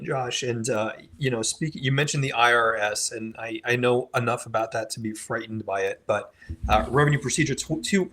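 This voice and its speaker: A man hosting a crypto panel, slightly hoarse and speaking in a conversational, steering way. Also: mid-range voice, a bit bright. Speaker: host. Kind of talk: panel discussion.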